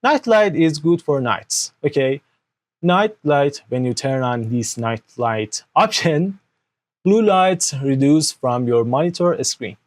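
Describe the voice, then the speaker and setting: A young man whose first language is Persian, explaining a computer walkthrough step by step at his desk into an external microphone, often checking with "okay?". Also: fairly high pitch. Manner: explanatory, frequent "okay?" checks, non-native grammar. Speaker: tutorial host. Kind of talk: screen-recorded software tutorial. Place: indoor room at a desk.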